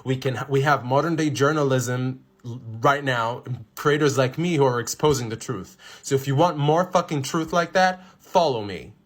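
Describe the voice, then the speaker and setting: A man's voice: medium pitch, normal speed. A man giving impassioned commentary as a content creator, emphatic and indignant, swearing freely.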